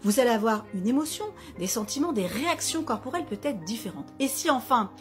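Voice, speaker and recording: Bright-voiced woman explaining to camera. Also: a little high, brisk, faint music behind. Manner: lively, explaining with examples and asides. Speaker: wellness author and practitioner.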